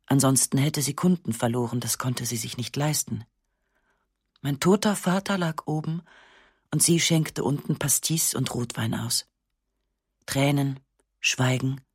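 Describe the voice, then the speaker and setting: A woman narrating a literary text in the first person, with a measured, restrained and sober delivery on a close studio microphone. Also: very deep voice, gravelly, husky, dry recording. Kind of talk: literary reading of a memoir-like novel.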